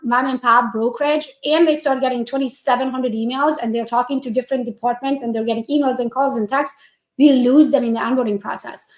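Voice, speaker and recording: A woman with a Hungarian accent, a real estate coach giving an energetic, chatty walkthrough on a live stream and talking straight to her viewers. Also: sits high, echoey room.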